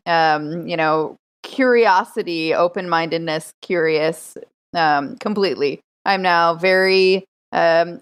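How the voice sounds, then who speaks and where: A woman playing a tabletop game on a podcast, casually describing her character in a halting, thinking-aloud way. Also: on the low side, clean tone.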